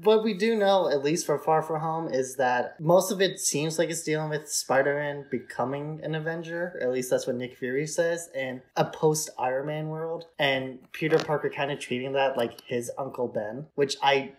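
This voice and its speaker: A man's voice: on the high side, moderate pace, few pauses. A man co-hosting a podcast, giving his opinions on films in informal, conversational speech. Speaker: podcast co-host. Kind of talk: casual film discussion chat.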